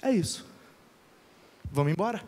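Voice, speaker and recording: A Brazilian man preaching as a pastor, colloquial and emphatic, with rhetorical pauses and dramatic storytelling. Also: sits high, lively intonation, a little mellow. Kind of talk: animated sermon with dramatic storytelling. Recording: long hall reverb.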